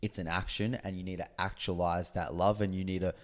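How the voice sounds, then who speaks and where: A man's voice: sits low, flat delivery, fairly quick. A man in his twenties co-hosting a podcast, friendly and easygoing, steering the casual chat. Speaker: podcast co-host.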